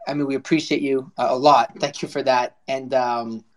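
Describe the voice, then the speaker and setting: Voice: medium pitch, fairly even intonation, normal speed. A show host chatting in an informal, slangy, effusive way on a live audio show. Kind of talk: casual chat hosting.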